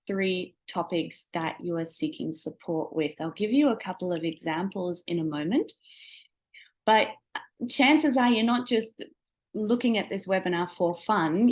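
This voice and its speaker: Woman presenter with slight Australian accent, German native. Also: lowish voice. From Germany, born in Austria. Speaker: webinar presenter, wellness seller. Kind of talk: scripted webinar presentation.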